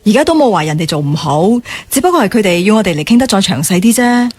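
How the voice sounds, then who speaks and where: A woman's voice: medium pitch, very animated, fast, slightly rough. Sensible, matter-of-fact professional woman. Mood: reasoning. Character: sensible, reserved female office professional. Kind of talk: radio drama acting.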